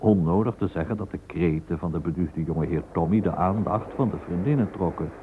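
An actor playing a florid, sentimental storyteller, narrating a radio play in a theatrical, flowery way. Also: male voice, low voice, natural voice. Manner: theatrical, flowery, deliberate phrasing. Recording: noisy, tinny old radio recording.

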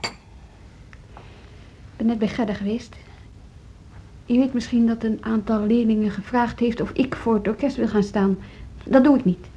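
Hesitant earnest music teacher lady, soft-edged mellow husky voice, radio play, dull old recording. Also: fairly high pitch, fairly even intonation. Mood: earnest, subdued. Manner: hesitant, halting. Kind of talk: naturalistic Dutch radio drama acting.

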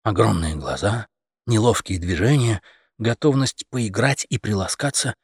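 A man narrating a satirical fairy tale as an expressive audiobook storyteller. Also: fairly low pitch, measured pace, slightly rough, no echo, studio quality.

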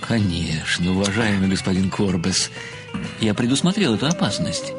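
A man's voice: lowish voice, wildly swooping pitch, normal speed, music in the background. An actor playing an earnest, self-satisfied amateur sleuth in an audio drama, admiring yet pedantic, formal and precise in his address. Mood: smug, methodical. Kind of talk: theatrical audio drama dialogue.

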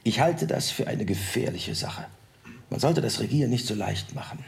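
A man playing a skeptical parliamentary delegate in a documentary radio drama, speaking in a deliberate, pointed rhetorical way. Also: mid-range voice, animated, gravelly. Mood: dry, cautionary. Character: skeptical male parliamentary delegate, wary of state power. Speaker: actor reading a historical council delegate.

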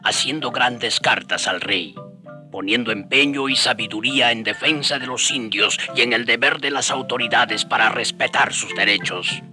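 An actor playing the storytelling narrator of a historical radio drama, speaking clearly. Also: male voice, medium pitch, normal speed, over music, close to an everyday voice. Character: storytelling narrator recalling the friars' mission.

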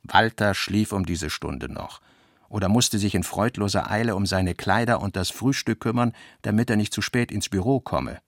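A man narrating a literary radio play in a measured, expressive storytelling manner. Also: fairly low pitch, dry recording, clean studio sound.

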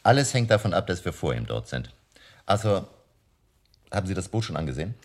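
An actor in a German radio drama, playing a grave, resolute professor who leads a vampire hunt. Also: male voice, deep voice, very animated, a little fast. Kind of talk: German radio play acting.

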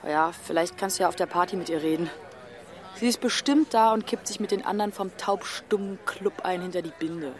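A woman's voice: fairly low pitch, a little fast, some chatter behind, close to an everyday voice. Woman playing a blunt, snappy teenage girl. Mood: defiant. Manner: teasing, snappy. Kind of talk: audio drama dialogue.

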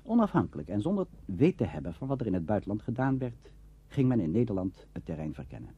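A man's voice: lowish voice, big pitch swings, fast, dark tone, husky. A man with a Netherlands Dutch accent reading scripted narration for a documentary. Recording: dull, lo-fi radio recording.

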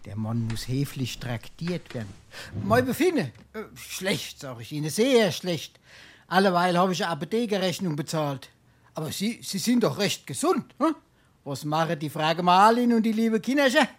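Penniless charming scrounger, Darmstadt dialect comedy. High, husky, wheedling, theatrically courteous. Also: male voice, big pitch swings, normal speed. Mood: wheedling, glib. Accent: Hessian, Darmstadt dialect. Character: wily, penniless sponger, a glib charming idler. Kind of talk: dialect stage comedy acting.

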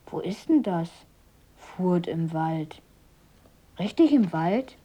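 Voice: medium pitch, animated, takes it slowly, dark tone. An actor playing a cheeky, curious eleven-year-old boy in a radio drama. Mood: amazed. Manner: exclaiming, blunt, questioning.

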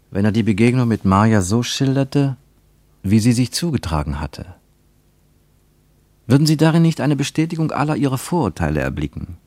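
A man narrating a literary radio drama in a measured, deliberate way. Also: medium pitch, a little mellow, dry recording.

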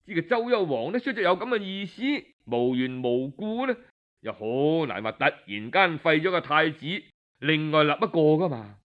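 A man with a Cantonese accent telling a historical tale in an expressive, colloquial traditional storytelling style. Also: fairly high pitch, normal speed. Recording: dull lo-fi audio.

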